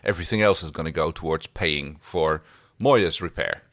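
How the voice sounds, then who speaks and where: A man co-hosting a podcast in casual recap chat, with a measured, musing manner. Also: extremely low pitch, fairly bright tone, no echo, studio quality. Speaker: podcast co-host.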